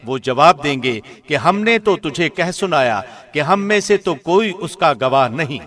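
Male translation reader, slightly high pitch, formal radio diction, measured scripted scripture narration. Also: expressive, a bit bright.

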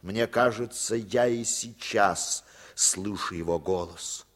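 A man's voice: on the low side, slow. An actor playing a weary former German legionnaire who tells his story reflectively and bitterly in a 1950s radio drama.

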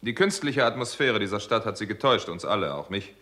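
An actor in a 1960s German crime radio play, playing an insistent doctor turned detective who reasons in a dramatic, deliberate way. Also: male voice, fairly low pitch, expressive, pushed and bright. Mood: accusing.

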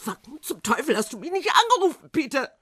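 A woman playing a forceful, sharp-tongued betrayed wife in a radio crime drama. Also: high voice, sing-song, quick pace, dry recording, clean studio sound. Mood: angry, upset.

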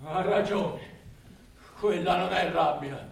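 A high-set, gravelly man's voice, a calm and sober onlooker. He speaks in a measured theatrical way. The recording is roomy and distant. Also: full character voice. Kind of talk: theatrical radio drama acting.

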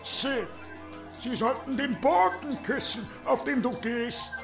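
A man playing a fawning, grandiose flatterer in a German radio play. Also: extremely high pitch, slow, gravelly, echoey room, over music, full character voice.

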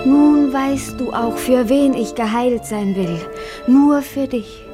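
An actress playing a sickly young woman in love, delivering a yearning, imploring monologue in a radio drama. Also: high-pitched. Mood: yearning, pleading. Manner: slow, yearning, imploring declamation. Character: lovesick, pleading young invalid woman, proud, fervent. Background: violin music behind.

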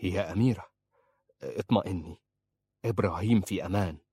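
A devoted young man, voiced by the narrator, who speaks low and hushed. His voice is deep and soft, recorded close and dry for a spoken story. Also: brisk, husky, dry recording. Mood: tender. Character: gentle loyal young man, devoted to the princess.

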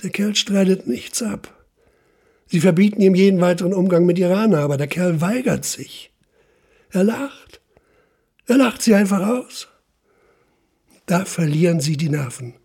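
Gravelly, relentless police investigator. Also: male voice, high voice, a little mellow, full character voice. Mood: insistent, accusing. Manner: insistent, deliberate, accusing. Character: stern, relentless police investigator interrogating a suspect. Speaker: narrating police official. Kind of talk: German radio drama, interrogation.

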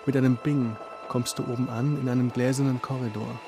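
A man's voice: medium pitch, little pitch movement, brisk, noisy background. A man narrating a radio drama, addressing the listener directly in vivid, descriptive present tense. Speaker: performer and narrator in an improvised radio play. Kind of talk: second-person storytelling in a radio drama. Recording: music playing behind the voice. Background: music.

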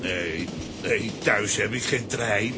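An actor playing a coarse, rude and menacing lout in a children's radio play, speaking gruffly and insolently. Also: male voice, slow pace. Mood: mocking menace. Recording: noisy, rumbling old recording.

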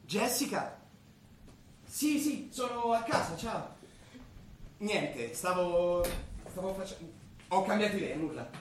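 An actor playing a bashful, pretentious young amateur poet in a stage comedy, halting and self-conscious. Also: male voice, sits high, lively intonation. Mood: bashful, eager. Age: young adult. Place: theatre stage. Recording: echoey theatre recording, faint noise.

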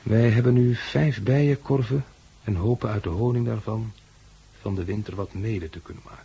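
A man's voice: lowish voice, normal speed, warm tone, slightly rough, highs cut off. An actor playing a weary, irritable man defending a farmhouse in a 1960s radio drama, speaking Netherlands Dutch. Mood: weary, reflective.

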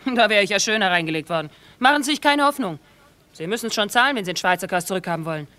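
Flirty scheming camp woman, radio play, talks fast, always angling for a deal. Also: medium pitch, expressive, pushed and bright. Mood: hurried, pressing. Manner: coquettish, calculating. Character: calculating, flirtatious camp woman after a bargain. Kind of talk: German radio play acting.

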